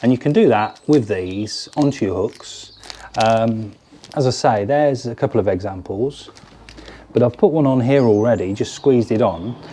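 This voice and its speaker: Relaxed British presenter in his forties, mellow tone, chatty hands-on product walkthrough. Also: mid-range voice, moderate pace, a little hiss or hum. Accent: British English. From United Kingdom. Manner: chatty, hands-on, explains while fiddling with lures. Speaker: fishing tackle presenter. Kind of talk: casual product demonstration to camera. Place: garden cabin or shed, indoors.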